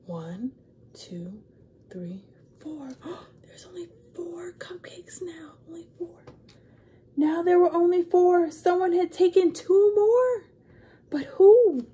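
A woman reads a picture book to young children in a high, mellow voice. She takes her time, delivering it expressively and pausing to ask questions or count along. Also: expressive, slight background noise. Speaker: storytime reader.

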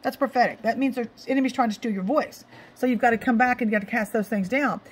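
Middle-aged woman, ministry host. Raspy, slightly high voice, emphatic and informal, hammering phrases by repetition. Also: fairly even intonation, fast talker. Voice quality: scratchy. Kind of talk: informal devotional exhortation.

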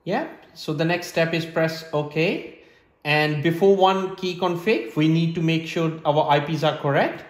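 Man with higher voice, echoey room. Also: steady tone, a little slow. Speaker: presenter of a tech setup tutorial. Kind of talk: step-by-step instructional narration. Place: small hard-floored room indoors. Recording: roomy, echoing indoor sound.

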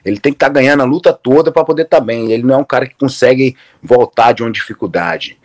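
A man's voice: mid-range voice, quick pace. Brazilian man chatting on a video call. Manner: relaxed, rambling with many restarts and fillers. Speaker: interview guest, MMA coach. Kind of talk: casual video-call interview. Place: room at home, indoors.

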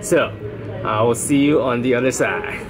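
A man in his thirties from Maryland, chatting excitedly to a handheld camera in a casual travel vlog. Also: mid-range voice, normal speed, over music. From Maryland, USA. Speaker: vlogger. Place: outdoors at night and airports. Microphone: handheld camera at arm's length.

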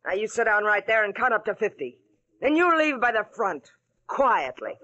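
An actress playing a tough, sardonic middle-aged woman who runs a rough barroom, brassy and bossy in 1940s radio drama style. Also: medium pitch, sing-song, normal speed, sharp tone, dull audio. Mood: stern, threatening. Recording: old radio recording, crowd noise behind.

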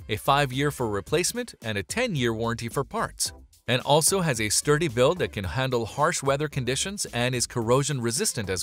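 A man's voice: medium pitch, a bit bright. A man reading a scripted product review as voice-over, upbeat and emphatic on transitions. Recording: voice-over with faint background music.

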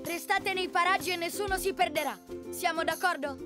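A woman's voice: very high voice, fairly quick, over loud music. A woman voicing a responsible young male cub in a cartoon, childlike and instructive as the figure directs friends.

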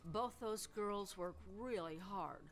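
An older woman playing a grandmother in a scripted comedy sketch, speaking calmly and matter-of-factly. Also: medium pitch, slow, over music.

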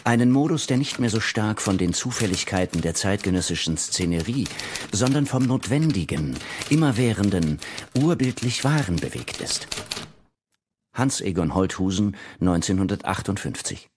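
A man with a fairly low voice reads quotations formally. Clear clicking typewriter noise runs behind his declamatory delivery. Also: very animated, normal speed. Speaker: quote reader. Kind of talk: reading out press quotations.

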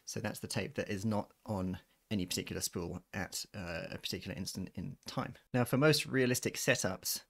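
Low-voiced man with a creaky edge. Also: a little fast, a bit bright. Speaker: physics tutor. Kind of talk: informal maths lecture, explaining a worked derivation. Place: voice-over on a handwritten screen recording.

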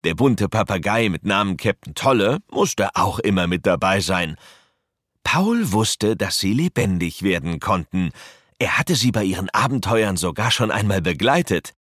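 A man's voice: fairly low pitch, fairly bright tone, husky, no room sound, clean studio sound. A man narrating a scripted children's audio drama as its storyteller.

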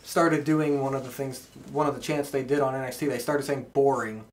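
A man's voice: mid-range voice, steady tone, a little fast, crowd noise. Informal man hosting a podcast. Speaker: podcast host. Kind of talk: casual wrestling podcast chat.

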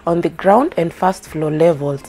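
A woman's voice: fairly low pitch, unhurried. A woman from Kenya narrating a house tour voice-over, chatting to viewers about each room. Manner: friendly, chatty commentary addressing viewers. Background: background music.

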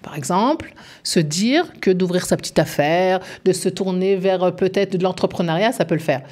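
Woman, 50s, lower voice, earnest employment advisor on studio radio. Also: normal speed, a little mellow. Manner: earnest, explanatory, persuasive. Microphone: studio microphone.

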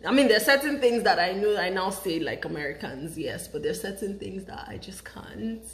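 A woman's voice: medium pitch, brisk. A young woman from Nigeria with a Nigerian English accent touched by British English, chatting animatedly to the camera in a vlog at home. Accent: Nigerian English with British influence. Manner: animated, chatty, gestures with hands. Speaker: vlogger. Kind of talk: casual vlog talk to camera. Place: home kitchen, indoors. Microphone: camera on a counter, close. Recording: echoey room with faint ambience.

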